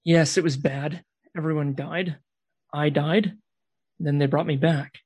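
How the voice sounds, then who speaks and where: Man acting a desperate, traumatised kidnapper, higher-pitched voice. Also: fairly even intonation, a little slow, darkish tone, breathy edge. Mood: bitter, anguished. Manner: accusatory, insistent, clipped. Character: traumatised, desperate male kidnapper confronting his captive. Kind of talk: read radio drama dialogue.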